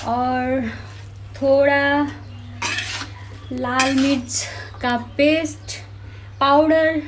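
A woman's voice: high-pitched, fairly even intonation, drawn-out words. A young woman with a Bihari Hindi accent chatting to viewers in a home cooking vlog, filmed on a phone held close in her kitchen. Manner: chatty, addresses viewers as friends. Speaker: vlogger. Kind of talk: casual cooking vlog narration. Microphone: phone camera held close. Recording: clear sizzling and pan noise behind. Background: frying and stirring sounds.

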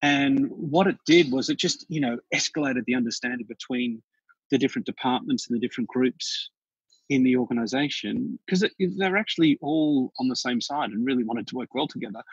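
A man with an Australian accent, a podcast guest telling stories in a chatty, animated way with frequent restarts. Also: mid-range voice, breathy edge, dry recording, muffled recording. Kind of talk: casual interview chat.

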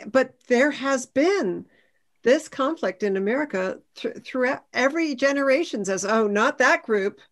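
A woman speaking as a scholar on a video-call panel, making her points emphatically and deliberately without a script. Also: a little high. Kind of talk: unscripted panel discussion.

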